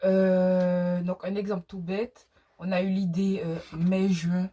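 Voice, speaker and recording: A woman's voice: on the low side, little pitch movement, takes it slowly, mellow. Young woman chatting in a casual vlog. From French Caribbean (Martinique). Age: young adult. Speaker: small cosmetics brand founder and video co-host. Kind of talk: casual question-and-answer vlog.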